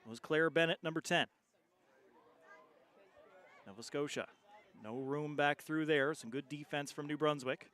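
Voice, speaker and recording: Higher-voiced male play-by-play sports commentator. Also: steady tone, a little slow, long pauses, a bit bright.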